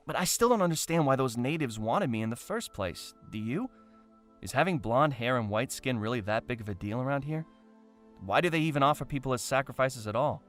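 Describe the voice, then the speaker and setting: A man playing a brave, chatty young castaway in a fan audio drama, with a wry, expressive, conversational delivery. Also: mid-range voice, natural voice. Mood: puzzled.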